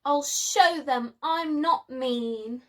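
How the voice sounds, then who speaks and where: A woman's voice: high voice, very slow. A woman voicing a mischievous, boastful young male dinosaur with theatrical, teasing delivery in a children's storybook. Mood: determined.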